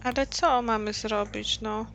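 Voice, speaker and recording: A woman's voice: fairly high pitch, steady tone, normal speed, vocal fry, a bit bright, a little hiss or hum. A woman doing casual let's-play commentary, muttering to herself as she plays. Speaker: game streamer.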